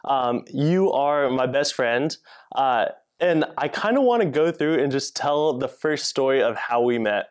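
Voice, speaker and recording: A man's voice: medium pitch, measured pace. Eager chatty male podcast host. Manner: eager, chatty, laughs a lot, many "like"s. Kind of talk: casual podcast chat.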